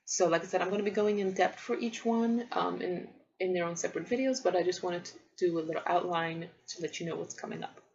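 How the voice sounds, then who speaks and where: A woman in her thirties whose first language is Polish, talking casually to camera about parenting with many pauses and ums. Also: lowish voice, vocal fry, darkish tone, highs cut off. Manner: thoughtful, many ums and restarts. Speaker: parenting vlogger. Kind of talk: casual vlog talk to camera.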